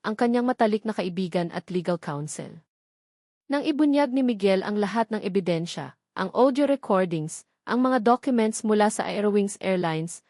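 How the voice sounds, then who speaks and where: A woman's voice: mid-range voice, a little mellow, no echo, clean studio sound. A woman narrating a scripted, dramatic love story.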